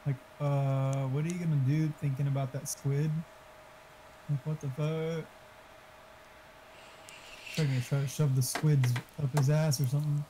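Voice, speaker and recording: A man with a fairly high voice shoots the breeze on a gaming voice chat. He is crude and jokey, swearing freely, with faint noise behind him. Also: little pitch movement, unhurried, pauses often, dark tone, rough recording. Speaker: streamer's gaming friend on voice chat. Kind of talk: casual chat.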